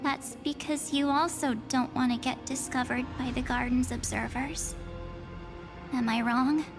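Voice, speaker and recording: A woman voicing an enigmatic, cold young woman in a video game, speaking in measured, breathy half-whispers. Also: high-pitched, fairly even intonation. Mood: guarded, cryptic. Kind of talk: scripted video game acting. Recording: music behind the voice.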